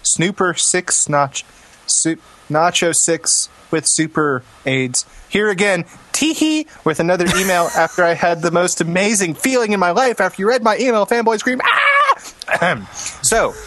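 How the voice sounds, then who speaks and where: A man's voice: sits high, lively intonation, a little slow, bright, forceful tone, a little hiss or hum. Dry, sarcastic man hosting a podcast. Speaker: podcast host. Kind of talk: casual joking chat.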